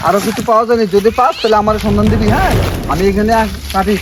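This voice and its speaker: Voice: medium pitch, racing, noisy background, close to an everyday voice. A performer voicing a village uncle in a cartoon, speaking colloquial in an instructing way. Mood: instructing, friendly. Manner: colloquial, instructing, repeats short check-in questions. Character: village uncle, caring elder who leads children to gather sticks. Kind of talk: cartoon voice acting. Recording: loud music under the voice.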